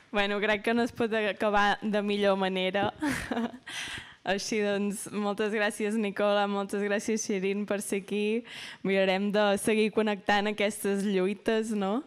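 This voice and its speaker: A woman's voice: mid-range voice, little pitch movement, takes it slowly, pauses often, clear, resonant tone. A young woman with a Catalan accent informally hosts a panel at a live event. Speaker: panel moderator. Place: indoor event hall stage. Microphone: handheld microphone.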